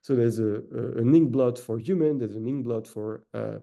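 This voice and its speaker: A man's voice: medium pitch, normal speed, creaky, warm tone, highs cut off. A man whose first language is French, speaking with a French accent, giving an academic lecture with many "uh" fillers and self-corrections. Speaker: researcher giving a talk.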